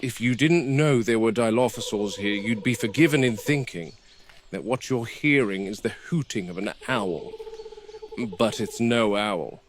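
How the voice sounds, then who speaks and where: An actor playing a watchful dinosaur park game warden, narrating a found-footage video log in a measured, matter-of-fact way. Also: male voice, lowish voice, slight background noise, close to an everyday voice. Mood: measured, explanatory.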